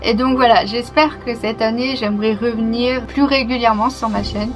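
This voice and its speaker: A young woman talking to her channel in a casual, reflective way, open and a little hesitant, with soft laughs. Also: a little high, steady tone, normal speed, rough recording. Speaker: YouTuber. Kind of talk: casual personal vlog talk. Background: music.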